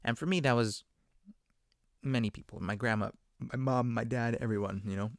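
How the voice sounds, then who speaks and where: A man's voice: mid-range voice, normal speed, no echo, studio quality. A man talking on a podcast in a loose, rambling monologue, self-deprecating and full of conversational asides. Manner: conversational, many fillers and asides. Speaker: podcast speaker. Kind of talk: casual monologue.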